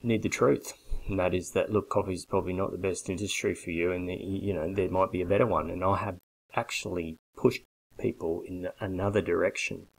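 A man in his forties giving a blunt, persuasive sales pitch straight to a webcam from a small bare office. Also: steady tone, fairly quick, gravelly, husky, low-quality recording. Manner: blunt, persuasive, emphatic with hand gestures. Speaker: coffee business consultant. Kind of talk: direct-to-camera sales pitch. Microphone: webcam at desk.

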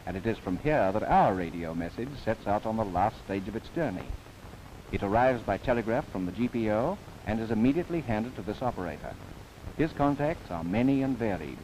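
A man narrating a scripted 1930s documentary film in a formal, measured, earnest manner. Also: fairly low pitch, darkish tone. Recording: noisy 1930s film soundtrack.

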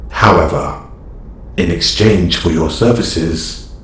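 Man playing a slow, deep, formal robot hero. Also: fairly bright tone. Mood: formal, guarded. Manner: measured, stiff, precise, formal delivery. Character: male robot superhero, calm, formal, quietly menacing. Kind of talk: scripted audio roleplay acting. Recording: echoey, faint background ambient noise.

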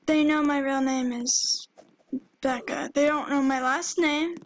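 A Texan woman talks slowly over a game session, her voice high and light. A steady hiss of noise sits behind her words. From Texas. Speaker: gamer. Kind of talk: casual gameplay chat. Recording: background noise.

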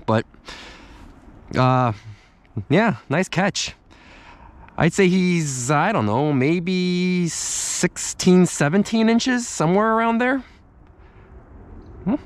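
A young man chatting casually to the camera as he fishes from a snowy riverbank, thinking aloud about each catch. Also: a little high. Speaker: fishing vlogger. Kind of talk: casual running commentary while fishing. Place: outdoors on a snowy riverbank in winter. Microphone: camera worn on the body or handheld at close range.

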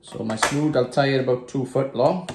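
A man in his thirties from Wales, calmly talking through tying a fishing rig at a desk. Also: medium pitch, normal speed, vocal fry, noisy background. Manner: calm, plain, talking while tying knots. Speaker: angler demonstrating a rig. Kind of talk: casual step-by-step tutorial. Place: small indoor room with windows. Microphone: phone camera on the desk. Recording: echoey room.

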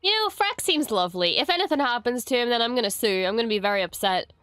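A young woman in her twenties with a stuffy, blocked nose, casually reacting to a show from her bedroom setup on a boom mic, excitable and prone to shrieks and swearing. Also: quick pace, smooth, no room sound. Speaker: reaction streamer. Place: bedroom streaming setup with colorful lights. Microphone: studio mic on boom arm, headphones. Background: show audio playing.

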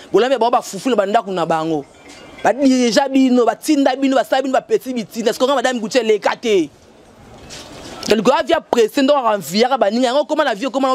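A middle-aged Congolese woman ranting loudly and scolding into a handheld microphone outdoors. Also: on the high side. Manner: loud, scolding and animated, gesturing. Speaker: interviewee. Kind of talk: heated rant. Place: outdoors by a tiled wall. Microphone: handheld mic in front.

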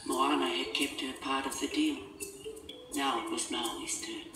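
A man's voice: medium pitch, flat delivery, a little slow. A man reading a scripted children's storybook as its narrator. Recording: echoey, distant, music behind.

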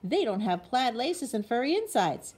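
A woman voicing an excitable little girl koala from a storybook, dramatic and whiny, in an emphatic delivery. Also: a little high, moderate pace, husky, pitched above the performer's own voice. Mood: insistent.

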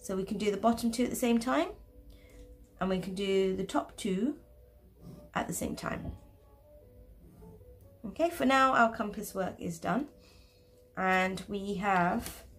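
Chatty woman teaching a drawing tutorial. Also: medium pitch. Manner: chatty, self-deprecating asides. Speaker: art teacher.

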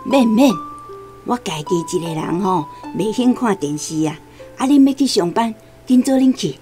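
A woman's voice: mid-range voice, expressive, normal speed, mellow, faint music behind, natural voice. A woman playing a simple, stubborn elderly grandmother with a Taiwanese accent in a radio drama, muttering to herself. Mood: dismissive.

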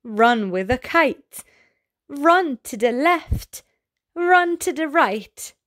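A woman with a high voice reads a picture book for little children. Her pitch swoops up and down in an exaggerated, sing-song way. She takes her time, at a slow storytelling pace. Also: expressive, fairly bright tone. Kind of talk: expressive read-aloud of a picture book.

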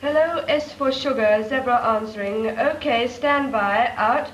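A woman's voice: fairly high pitch, measured pace, highs cut off, natural voice. An actress playing a young female naval radio operator, speaking in clipped radio procedure. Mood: steady. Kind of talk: 1940s film acting. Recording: noisy, echoey 1940s film soundtrack.

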